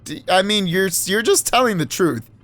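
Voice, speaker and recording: A man's voice: high voice, animated, moderate pace, a bit bright, pitched above the performer's own voice. A man voicing an earnest, nervous young male law student who stammers and restarts. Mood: anxious.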